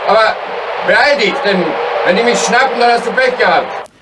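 An actor playing an agitated, wary young criminal in a 1970s radio crime drama, insistent and urgent. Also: male voice, sits high, moderate pace, lightly acted. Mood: wary, bargaining. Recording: noisy, distant from mic, traffic-like din.

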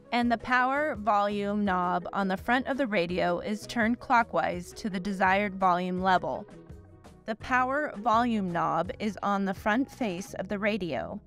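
A woman narrates an instructional demonstration in a clear, steady voice. She explains each step methodically, in a scripted style. Faint music plays quietly behind her. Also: medium pitch.

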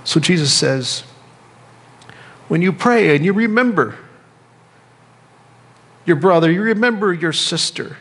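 A middle-aged American man preaching a sermon as a pastor, speaking in measured phrases with pauses and repetition. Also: sits high, lively intonation, fairly bright tone, breathy edge. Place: church sanctuary, indoors at a lectern. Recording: reverberant church hall.

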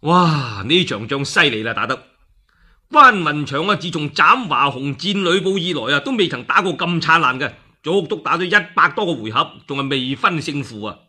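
Husky, bright-voiced Cantonese storyteller, a man. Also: on the high side, expressive, normal speed. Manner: theatrical, with exclamations and spoken sound effects. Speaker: radio storyteller. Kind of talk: dramatic one-man narration of a classical war tale.

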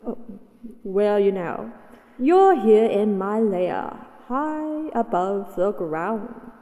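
A woman's voice: mid-range voice, very animated, slow, warm tone, muffled recording. Woman as a haughty dragoness in cavernous reverb. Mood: possessive, coaxing. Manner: condescending, commanding, teasing. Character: possessive, haughty, mighty female dragon captor. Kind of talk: scripted roleplay audio acting.

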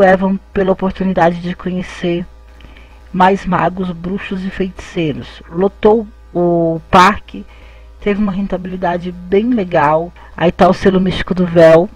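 A man's voice: high-pitched, low-quality recording. A man, hosting his channel and narrating an event video as a voice-over. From Brazil. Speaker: channel host. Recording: faint music behind the voice.

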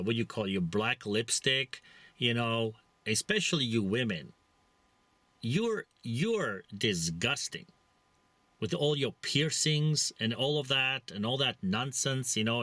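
Czech accent guy ranting in his car, rambly, lots of uh and um, bright hard voice, dead dry sound. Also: medium pitch, no echo. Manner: blunt, rambling, preachy with many fillers. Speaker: vlogger. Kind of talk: casual monologue to camera. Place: inside a parked vehicle. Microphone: camera mounted close in front.

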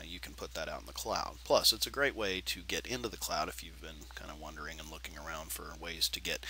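A man's voice: low-pitched, slight background noise, rough recording. A man hosting a tech screencast tutorial, talking casually with lots of fillers, sounding nasal and stuffy from allergies. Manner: relaxed, rambling with many fillers.

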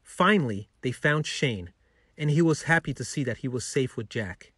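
A man's voice: mid-range voice, expressive, moderate pace, a bit bright, slightly rough, no room sound, clean studio sound. A man narrating a scripted bedtime story for children as a storyteller.

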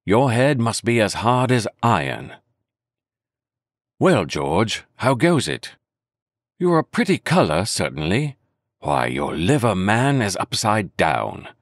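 A steady middle-aged ship's doctor, brisk and cheerful with his patients, as a man reads him aloud. The voice sits low and moves in a lively way. The sound is close and dry. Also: dry recording, heavily acted. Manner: brisk, cheerful bedside manner.

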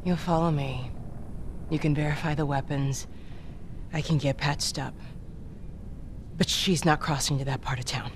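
A woman's voice: sits low, steady tone, normal speed, long pauses, background noise, natural voice. An actress playing a wounded middle-aged female rebel leader in a game, who speaks firmly and urgently, giving orders. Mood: commanding.

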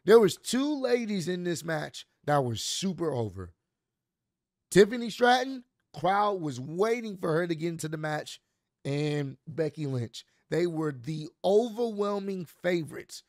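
A man in his thirties with a hoarse, worn-out voice, casually recapping a wrestling show for his channel from a home studio. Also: a little high, unhurried, dry recording. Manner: animated, talks with his hands, loose slangy delivery. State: hoarse, voice mostly gone. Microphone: large studio mic on boom arm. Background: soft instrumental music.

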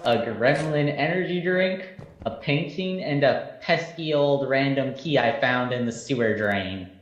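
A player jokingly pitches items like a shopkeeper over voice chat. The voice sits mid-range and rings in an echoey room. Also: a little slow, highs cut off. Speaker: gaming teammate. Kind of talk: casual gaming banter.

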